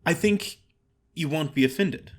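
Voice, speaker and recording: A man's voice: fairly high pitch, a little slow. A man voicing a small, frank young chorus girl in an audiobook, chatty, earnest and plain-spoken. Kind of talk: audiobook character acting.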